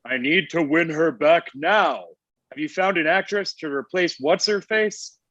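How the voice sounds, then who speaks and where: Man as a husky, high-pitched, petulant Santa. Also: a little slow, a bit bright, no room sound, muffled recording. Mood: stubborn. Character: sulky, stubborn old Santa Claus, lovesick and defeated.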